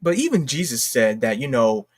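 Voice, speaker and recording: An American man giving casual, unscripted online commentary in a halting, repetitive, slangy way. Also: medium pitch, big pitch swings, breathy edge. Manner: halting and repetitive, slangy, addressing viewers as y'all. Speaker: online video commentator and host. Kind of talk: casual unscripted commentary, reading posts aloud.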